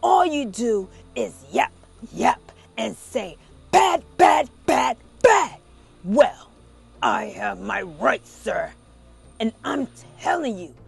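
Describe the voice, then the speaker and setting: A woman voicing a regretful, greedy old male factory owner in a children's storybook read-aloud, with creaky, dramatic, drawn-out delivery. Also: a little high, sing-song, faint music behind, full character voice. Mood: angry, defiant.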